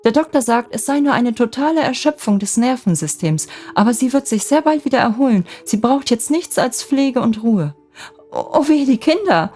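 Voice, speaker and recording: Woman acting an eager, higher-voiced young housekeeper. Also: expressive, moderate pace, a little mellow. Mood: joyful relief. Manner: exclaiming, eager, emphatic. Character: warm, excitable young housekeeper woman, devoted and grateful. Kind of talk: storybook fairy tale character voice. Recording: music bed under the voice.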